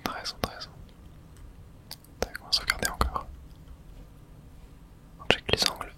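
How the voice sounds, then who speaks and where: Female ASMR streamer, whispered game commentary with casual slang and spoken reactions to play. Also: normal speed.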